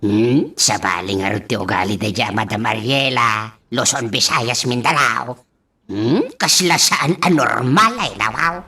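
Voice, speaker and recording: A woman playing a chatty, excitable household helper in an Ilocano comedy radio drama, exclamatory and theatrical, with an Ilocano accent. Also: rumbling low, unhurried, slightly rough, exaggerated voice. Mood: indignant surprise. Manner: exclamatory, theatrical, repeats catchphrases.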